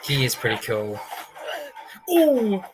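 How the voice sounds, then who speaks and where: A man giving casual, expressive live reactions as a game streamer, in informal speech. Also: medium pitch, takes it slowly. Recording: makeshift livestream audio. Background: faint game music.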